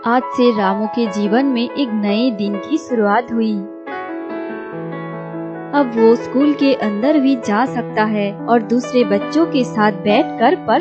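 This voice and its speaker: A woman giving scripted narration for a children's animated story. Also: a little high, muffled recording. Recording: music behind the narration.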